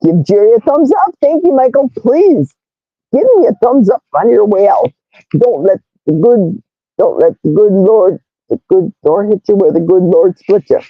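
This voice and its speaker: Woman, raspy, hoarse with vocal fry, lively swooping pitch, dull lo-fi sound. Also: moderate pace, no room sound. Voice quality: raspy, brash, loud. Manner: animated, reads comments aloud, squeals greetings. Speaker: livestream host reading chat comments. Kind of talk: casual live chat.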